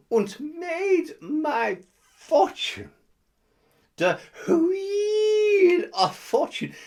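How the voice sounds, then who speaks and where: A woman playing a boastful, lazy self-styled guru, telling a mock-grand story in a slow drawl with made-up words. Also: a little high, a little mellow, full character voice. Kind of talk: comedic character monologue.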